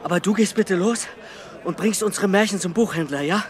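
An actor playing a harried, hard-working scholar in a children's radio play, effortful and easily exasperated. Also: male voice, high voice, brisk, close to an everyday voice. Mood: urging, unsure.

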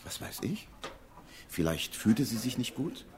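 An actor playing a harried, plain-spoken theatre stage manager in a German radio crime drama, slightly flustered and hesitant. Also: male voice, medium pitch, very animated, unhurried, background noise. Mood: baffled.

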